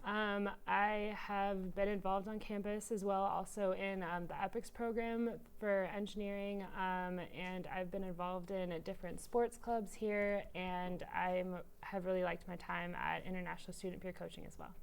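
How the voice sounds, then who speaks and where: A young woman from Chicago, an engineering student, introducing herself in an even, composed way. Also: medium pitch, moderate pace, gravelly, few pauses, smooth. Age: young adult. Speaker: engineering student, peer coach.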